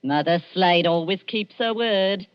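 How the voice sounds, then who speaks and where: A woman's voice: fairly low pitch, unhurried, clean tone, natural voice. An actress playing a sinister, falsely sweet old woman, in 1940s radio style. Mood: oily, teasing. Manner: oily, falsely sweet, taunting. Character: wheedling, sinister old female body-snatcher boss. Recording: dull, muffled old radio recording.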